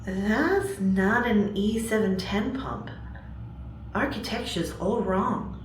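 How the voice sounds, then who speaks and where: A woman playing a sharp, skeptical military analyst in a sci-fi audio drama, clipped and analytical. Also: mid-range voice, takes it slowly, a little hiss or hum, natural voice. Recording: roomy echo under prominent music.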